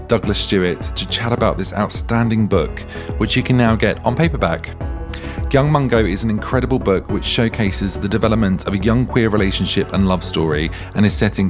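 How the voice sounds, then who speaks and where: A man's voice: fairly low pitch, fairly quick, music in the background. A man hosting a podcast, enthusiastic and chatty as he introduces and interviews a guest. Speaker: podcast host.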